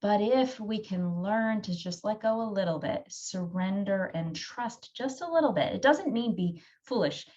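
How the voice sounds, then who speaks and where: Casting: mid-pitched woman, emphatic conversational storyteller, slightly dull remote sound. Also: lively intonation, a little slow, few pauses, a little mellow. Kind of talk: casual conversation.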